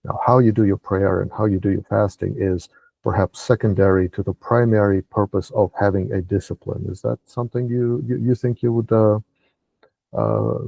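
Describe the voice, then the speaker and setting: A low, gravelly, husky male voice, dark in tone, recorded dry and close. He was born and raised in Russia and hosts the interview, asking long, winding questions full of hesitations. He speaks at a measured pace. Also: steady tone, no room sound, highs cut off. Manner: hesitant, many fillers. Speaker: interviewer, podcast host. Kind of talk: casual interview conversation.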